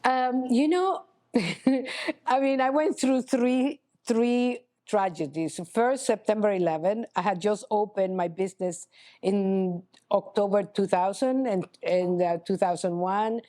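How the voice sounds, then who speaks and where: A middle-aged woman, a chocolatier guest speaking in a casual interview, halting with many restarts and "you know". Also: medium pitch, dark tone. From Honduras. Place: chocolate shop cafe.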